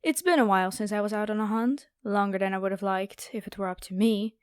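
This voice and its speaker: A woman's voice: mid-range voice, quick pace, clear, resonant tone, dry recording, studio quality, close to an everyday voice. A woman playing a haughty, predatory noble vampire girl in an ASMR roleplay, with a taunting, playful, theatrical delivery. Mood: commanding, casual.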